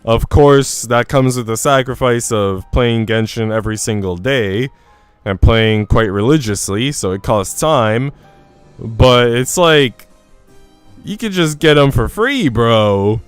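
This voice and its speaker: A man's voice: medium pitch, slow pace, smooth, no echo, faint music behind. A man livestreaming a game, talking casually with informal slang and reading story text aloud. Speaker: game streamer.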